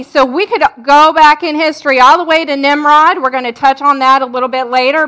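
Bright high-voiced woman presenter. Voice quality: loud voice. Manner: earnest, instructive, addressing the audience directly. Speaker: guest presenter at a church. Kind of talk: church seminar presentation.

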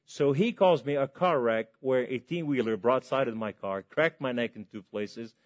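Male preacher from Lebanon, mid-pitched voice, fervent testimony sermon, big swings in volume. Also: moderate pace. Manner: impassioned, swings between loud and hushed.

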